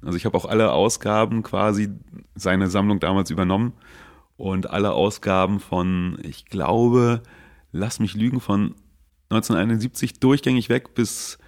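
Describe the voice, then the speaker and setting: A man's voice: on the low side, moderate pace. A man in his forties from northeast Germany, a graffiti artist answering interview questions in an easygoing way, loose with fillers. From Mecklenburg, northeast Germany. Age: 40s. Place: seated indoors in a city.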